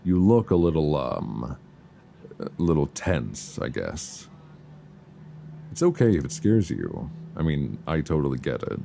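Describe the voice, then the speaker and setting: A man playing an affectionate, reassuring boyfriend in an intimate, casual ASMR roleplay, speaking softly and soothingly close to a binaural mic. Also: a bass voice, vocal fry, heavily acted. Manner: soft, intimate, unhurried, soothing. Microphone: close binaural microphone. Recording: faint background noise, binaural.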